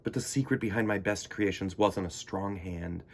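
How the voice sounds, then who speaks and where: A man reading a children's picture book aloud in the first person, theatrical, sometimes dropping into hushed emphasis. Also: low voice, normal speed, slight background noise. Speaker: children's storytime reader.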